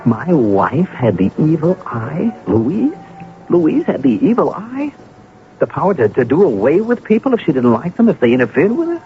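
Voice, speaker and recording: An actor playing an anxious, henpecked husband in an old-time radio drama, stammering and hesitant. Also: male voice, a little high, wildly swooping pitch, soft background music. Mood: bewildered. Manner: stammering, flustered, halting. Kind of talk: old-time radio drama acting, with first-person narration. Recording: muffled, noisy old radio broadcast.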